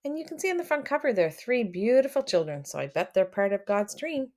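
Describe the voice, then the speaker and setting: A woman reading a picture book aloud to children, expressive and addressing them directly as she comments along. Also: a little high, quick pace. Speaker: read-aloud storyteller for children.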